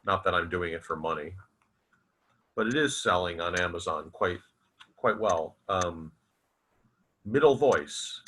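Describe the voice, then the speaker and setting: A man reciting his own literary fiction as its author, in a theatrical, deliberate and emphatic manner. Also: sits low, animated, sharp tone, breathy edge. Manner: dramatic, deliberate, emphatic with repeated phrases. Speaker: author reading own novel aloud.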